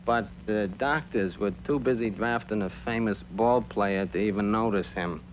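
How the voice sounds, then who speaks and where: A man's voice: fairly low pitch, normal speed. A man narrating a cartoon like a storyteller, on a noisy old film soundtrack.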